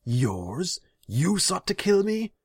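A man voicing a cruel, hot-tempered young khan in a fantasy story, loud, indignant and imperious. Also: sits low, fairly even intonation, a little slow, no echo, clean studio sound, pitched above the performer's own voice. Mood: outraged.

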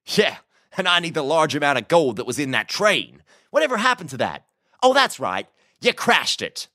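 A man's voice: on the high side, sing-song, moderate pace, sharp tone, no room sound, studio quality, voice pushed up. A man voicing a smirking cowboy outlaw in an audiobook, with a teasing, mocking, drawling manner. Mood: smug. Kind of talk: audiobook character acting.

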